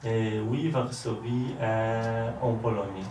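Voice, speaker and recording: A man's voice: on the low side, steady tone, darkish tone, soft background music. A man with a Polish accent, a native Polish speaker, hesitantly answering French drills as a learner. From Poland. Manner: hesitant, halting, with fillers. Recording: roomy, distant mic, faint noise.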